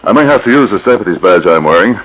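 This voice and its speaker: Stern fearless cowboy hero, low and commanding, 1940s radio western. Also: male voice, expressive, fairly bright tone, dull audio, slight background noise. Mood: resolute. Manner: commanding, deliberate, terse. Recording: old 1940s radio transcription disc.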